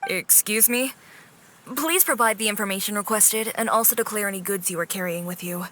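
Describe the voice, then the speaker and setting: A woman's voice: medium pitch, brisk, sharp tone, natural voice. A woman playing a strict, officious government clerk in a video game, speaking in a formal, precise, businesslike way.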